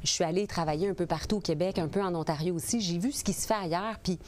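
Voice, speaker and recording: A woman's voice: lowish voice, quick pace, a little mellow. A woman in her forties from the Portneuf region with a Québécois accent, answering interview questions as a political candidate, earnest with frequent hesitations. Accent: Québécois French. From Portneuf region, Quebec. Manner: earnest, with many hesitations and restarts.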